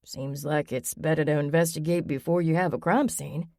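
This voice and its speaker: A woman voicing a mild, dry-humored middle-aged father in an audiobook, speaking calmly and low. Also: normal speed, no echo. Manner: calm, low, understated.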